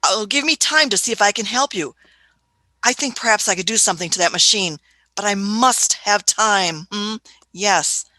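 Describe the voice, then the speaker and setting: A woman's voice: medium pitch, moderate pace, pushed and bright, dry recording, close to an everyday voice. A woman playing an eccentric, authoritative scientist-adventurer in a radio play, fussy and stammering, with a condescending manner. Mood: reassuring.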